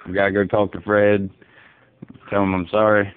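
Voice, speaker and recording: A man playing a folksy, easygoing, bewildered dad, rambling deadpan on a prank phone call. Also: lowish voice, moderate pace, slight background noise, natural voice. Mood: resigned, musing. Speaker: prank caller. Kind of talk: casual phone prank, improvised. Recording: muffled narrow phone line.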